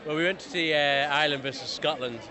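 A man's voice: a little high, fast talker. A man with an English accent, a rugby fan chatting enthusiastically and rambling a little. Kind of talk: casual chat. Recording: loud crowd outdoors.

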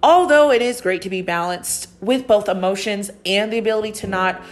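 A woman's voice: mid-range voice, a little fast. A woman in her thirties hosting a solo podcast, rambling casually with frequent swearing and laughter, her nose stuffed up and slightly nasal. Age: 30s. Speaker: podcast host and psychic medium.

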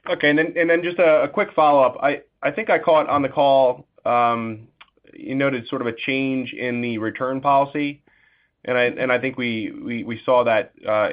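A man speaking as an analyst caller, putting spontaneous, pressing and repetitive questions on an earnings call. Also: medium pitch. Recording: dull conference-call phone audio.

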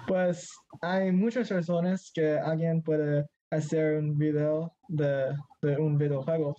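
A man's voice: fairly high pitch, mellow, muffled recording. A teenage boy from Mexico in casual conversation as a guest, hesitant and searching for words, slipping into English now and then. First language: Spanish. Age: teens.

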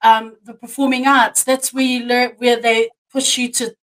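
A woman hosting a podcast interview, chatty and expressive, with lots of "like" and "you know". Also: fairly high pitch, moderate pace, low-quality recording. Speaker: podcast host. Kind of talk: casual interview chat.

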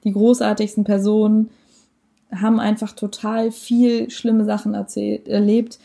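A woman's voice: medium pitch, flat delivery, measured pace, vocal fry, mellow. A young woman talking casually as a podcast guest, slangy and correcting her own wording as she goes.

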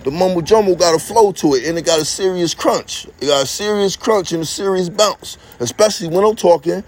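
High-voiced guy rambling streetwise and boastful, talking into his phone out in the yard. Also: a little fast, darkish tone. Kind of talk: rambling monologue to camera.

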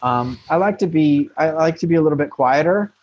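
A frank American man with a slightly high, husky voice talks through advice in loose, rambling sentences. He often restarts, and the audio is dry and a bit dull, like a remote interview line. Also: a little fast, dry recording. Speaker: guest, marketing consultant. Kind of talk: casual interview talk.

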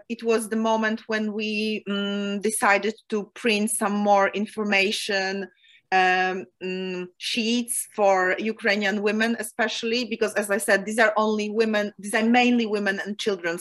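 A young Polish woman with a Polish accent, speaking English as a second language and answering interview questions about aid work with frequent pauses and fillers. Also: medium pitch, fairly bright tone. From Poland. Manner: hesitant, many fillers and restarts. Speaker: aid organization volunteer. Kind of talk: remote interview.

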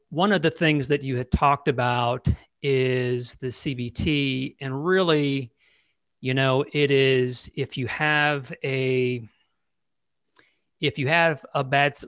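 A man's voice: medium pitch, fairly bright tone, dry recording. A man in his forties answering as a podcast guest, hesitant, with many fillers and repeated words. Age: 40s. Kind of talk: casual interview answer.

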